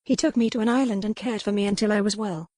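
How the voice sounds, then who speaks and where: A woman's voice: mid-range voice, fairly even intonation, fast, darkish tone, dry recording, lightly acted. A woman voicing an earnest, pleading young noblewoman in a historical romance audiobook, speaking in formal period phrases. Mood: confessing.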